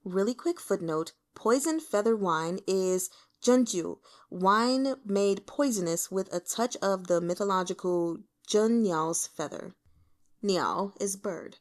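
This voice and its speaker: A woman narrates a story in a medium-pitched voice that moves up and down with lots of animation. Her delivery is scripted, expressive and dramatic, like an audiobook reader telling a fantasy tale. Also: slow pace.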